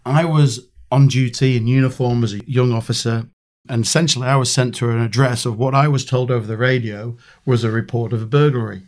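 A croaky-voiced Englishman chats as a podcast guest. He tells his stories in a loose, self-deprecating way and breaks into laughter often. His voice sits at an ordinary middle pitch. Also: fairly even intonation, a little fast. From England. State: croaky from a cold. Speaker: guest, former detective. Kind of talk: casual storytelling interview.

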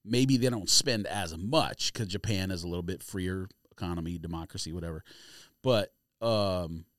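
A man's voice: low voice, moderate pace, fairly bright tone, no echo, clean studio sound. A man co-hosting a casual sports podcast, arguing his opinions in slangy everyday talk. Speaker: podcast co-host.

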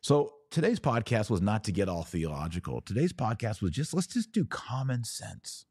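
A man's voice: lowish voice, big pitch swings, normal speed, a little mellow, breathy edge, no echo, clean studio sound. A man hosting a religious podcast, talking informally and emphatically, often with rhetorical questions and repeated phrases.

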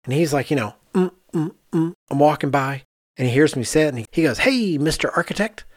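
A man's voice: fairly high pitch, brisk, no echo. Casual male podcast host, dry close sound. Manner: animated storyteller, quotes people in anecdotes.

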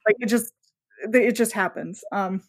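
A woman speaking casually as an interview guest, telling things in an animated, bookish and enthusiastic way. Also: medium pitch, measured pace. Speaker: podcast guest, author.

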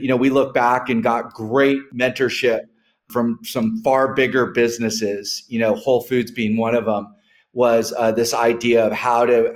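A middle-aged man with an American accent, explaining reflectively and telling stories in a conversational interview, with wry asides. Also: mid-range voice. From Northern California, United States. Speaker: interview guest, restaurant founder. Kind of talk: conversational interview answers, storytelling.

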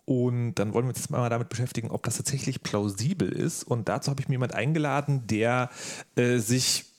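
A man's voice: mid-range voice, a little fast, a little mellow. A man hosting a podcast in a casual, playful way, often breaking off and rephrasing his sentences. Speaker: podcast host. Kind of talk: casual podcast moderation, conversational.

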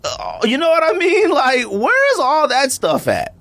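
Animated ranting male podcast host. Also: extremely high pitch, unhurried. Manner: animated, ranting, laughs often. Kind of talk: casual chat.

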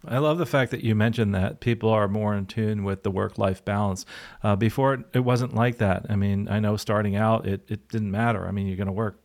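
A man's voice: fairly low pitch, steady tone, a little fast, a little mellow. A man hosting a podcast in an upbeat, affirming, conversational way. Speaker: podcast host, pilot and career coach. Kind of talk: conversational interviewing.

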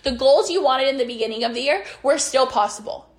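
A woman's voice: sits high, fairly quick. A young woman coaching on business and belief in a casual, earnest monologue, rambling with restarts, her voice worn after being sick.

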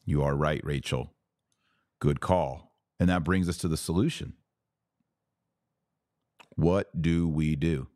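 Male religious commentator, low voice, dry close sound. Emphatic, punchy, repeats key phrases, challenges the listener directly. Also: takes it slowly, pauses often, darkish tone, no echo. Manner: rhetorical questions to listeners, acting out imagined quotes. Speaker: podcast host and religious commentator. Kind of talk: impassioned religious commentary to a live audience.

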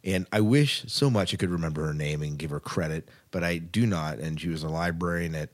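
A man's voice: low-pitched, brisk. A man co-hosting a podcast in a casual, informal way, often rephrasing himself as he chats. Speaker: podcast co-host.

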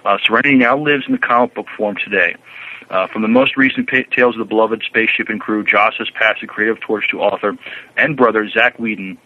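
A man co-hosting a podcast, reading news and commenting in a halting, informal way. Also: lowish voice, fairly even intonation. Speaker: podcast co-host. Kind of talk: reading news aloud and casual chat. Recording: dull, lo-fi, radio-like sound.

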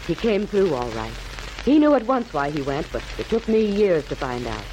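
Solemn, expressive 1940s radio mother. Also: on the low side. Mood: wistful. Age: middle-aged. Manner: dramatic, measured speechifying. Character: firm, solemn, loving middle-aged mother of a soldier-age son. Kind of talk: 1940s radio drama acting. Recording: hissy, noisy old 1940s radio recording.

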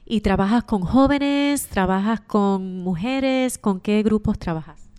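Woman doing podcast interview questions, smooth clear voice, conversational. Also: mid-range voice, slow, a little mellow.

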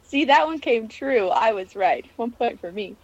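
Dull-sounding recording of a high-voiced woman. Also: expressive, normal speed, no echo. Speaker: podcast co-host. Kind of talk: casual TV recap banter. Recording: dry, dull lo-fi microphone sound.

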